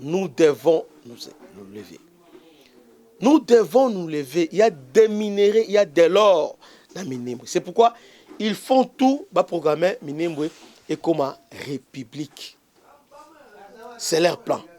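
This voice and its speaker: A man's voice: on the high side, big pitch swings, warm tone. A man with a Congolese French accent, a bishop hosting his own channel, giving an impassioned political commentary full of hesitations and stray Lingala words. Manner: halting, many fillers and restarts, mixes in Lingala.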